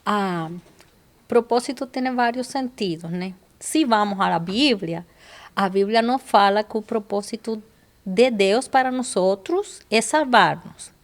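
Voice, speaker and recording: A woman's voice: medium pitch, unhurried. A woman from Cuba with a Cuban Spanish accent, a guest on a casual podcast, speaking Portuguese thoughtfully and slipping into Spanish words. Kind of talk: casual chat.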